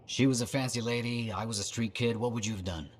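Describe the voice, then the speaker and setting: A man playing an eager, bookish inventor in a video game, with an expressive and enthusiastic delivery. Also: medium pitch, fast talker, slightly rough, lightly acted. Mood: wry, nostalgic. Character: eager scholarly male inventor, former street-kid prodigy. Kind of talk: video game dialogue acting.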